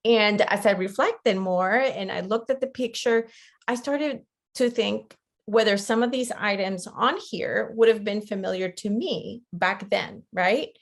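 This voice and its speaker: A woman's voice: medium pitch, a little slow, creaky. A woman presents an interactive online lecture in a conversational way, often checking in with her listeners. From Costa Rica. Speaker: webinar presenter, teacher educator.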